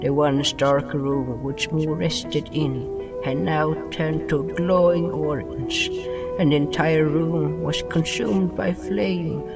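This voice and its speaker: Male narrator for dramatic fiction: unhurried, slightly distant from the mic, drops articles, clear music bed underneath. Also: a little high, steady tone. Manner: dramatic storytelling, dropped articles. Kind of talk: scripted first-person story narration.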